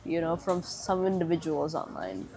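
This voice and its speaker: A woman's voice: sits low, big pitch swings, normal speed, mellow. A young woman from Indonesia with an American accent, speaking candidly as a podcast guest and using many casual fillers. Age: young adult. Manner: candid, thoughtful, frequent fillers like "you know" and "like". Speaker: guest, Indonesian language teacher and content creator.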